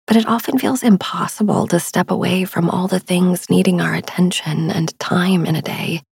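A woman hosting a sleep meditation podcast, narrating softly and soothingly in a hushed, breathy voice that often nears a whisper. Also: lowish voice, fairly even intonation, normal speed, no room sound, studio quality.

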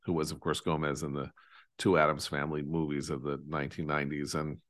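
Man, very low voice, dry close sound, enthusiastic digressive host. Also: little pitch movement, fast talker, dry recording. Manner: enthusiastic, digressive, trails off to quiet. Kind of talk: casual podcast chat.

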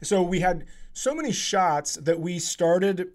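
A man's voice: high voice, lively intonation, takes it slowly. A middle-aged man hosting a podcast, chatting casually in a joking, playfully teasing way. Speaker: podcast host. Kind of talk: casual comic chat.

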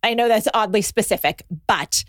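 A woman's voice: mid-range voice, normal speed, dry recording, clean studio sound. A woman guest in a casual podcast chat, laughing often and talking in bursts. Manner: animated, laughing, talks in bursts.

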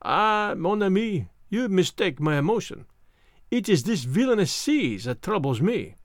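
A man voicing a fastidious, self-assured little foreign detective in an audiobook, with a French accent and deliberate, theatrical phrasing. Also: high-pitched, very animated, no echo, studio quality, heavily acted. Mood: queasy, distressed.

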